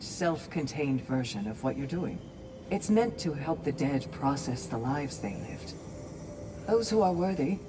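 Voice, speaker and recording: Composed, expressive Valkyrie warrior woman. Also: a bass voice, noisy background. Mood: solemn, instructive. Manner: composed, articulate, formal. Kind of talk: video game cinematic acting. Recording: game music behind the voice.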